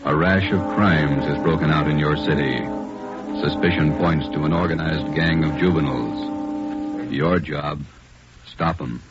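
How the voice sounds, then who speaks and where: Loud music runs under a muffled old radio recording. A male announcer with an extremely deep voice speaks gravely and with measured weight. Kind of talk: solemn vintage radio drama introduction. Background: dramatic music.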